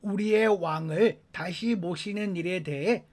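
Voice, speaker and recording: A man reads scripture aloud from a written text. His voice sits high for a male speaker. The delivery is that of scripted Bible narration, carrying both the narrative and the quoted dialogue. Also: unhurried, darkish tone. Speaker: scripture reader.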